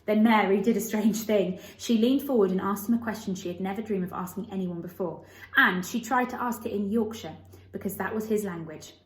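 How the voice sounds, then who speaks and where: A woman's voice: mid-range voice, brisk. Woman reading a storybook, echoey room. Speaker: storybook reader. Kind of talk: scripted narration of a children's novel.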